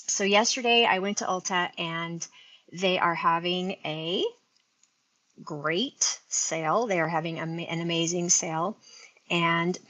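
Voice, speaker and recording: A woman's voice: lowish voice, fairly bright tone, low-quality recording. A sixty-year-old woman hosting a casual beauty live stream, chatting and rambling to her viewers, talking into an earphone mic linked to her phone. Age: 60s. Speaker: beauty livestream host.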